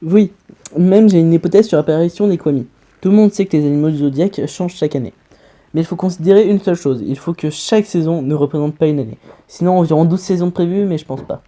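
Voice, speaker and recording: A man's voice: a little high, fast talker, warm tone. A man co-hosting an online fan theory video in casual chat with a partner.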